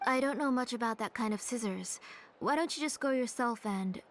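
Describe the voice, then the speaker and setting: A woman voicing a gentle, caring mother in a video game, with a polite, nurturing manner. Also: fairly high pitch, normal speed, slight background noise, natural voice. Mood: reluctant. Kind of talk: video game character dialogue.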